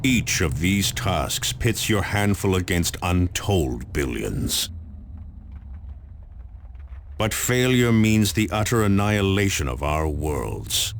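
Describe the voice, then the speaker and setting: An actor playing a grave, resolute warrior commander in a video game, giving a solemn, measured dramatic mission briefing. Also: male voice, deep voice, very animated, a little hiss or hum, heavily acted. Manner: solemn, portentous, measured delivery.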